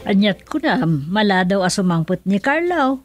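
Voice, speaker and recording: A woman's voice: medium pitch, big pitch swings, moderate pace, over loud music, lightly acted. A woman playing a caring older mother and grandmother in an Ilocano radio drama, with a Filipino Ilocano accent. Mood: concerned.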